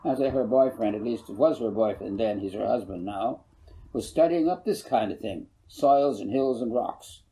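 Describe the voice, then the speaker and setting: A man's voice: lowish voice, normal speed, mellow, close to an everyday voice, poor audio. A man voicing a folksy, good-humored older farmer who rambles and corrects himself, in a storybook reading. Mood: folksy, rambling. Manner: rambling, homespun, self-correcting. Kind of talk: audiobook character voice.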